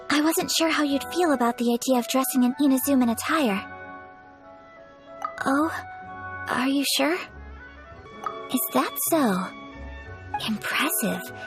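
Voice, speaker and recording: Casting: courteous, refined young lady of a noble clan in a game, higher-pitched, earnest. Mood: polite. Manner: formal, measured, courteous. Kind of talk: video game character acting. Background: music.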